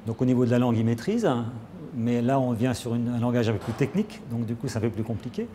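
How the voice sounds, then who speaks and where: A man's voice: medium pitch, fast talker, dark tone, slight background noise. A man who works as a vocational trainer, explaining the teaching in a plain documentary interview.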